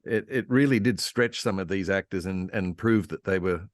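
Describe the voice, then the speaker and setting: Deep-voiced man chatting as a historian. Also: moderate pace, dry recording, studio quality. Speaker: panel guest, radio historian. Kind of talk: casual informed discussion of old radio.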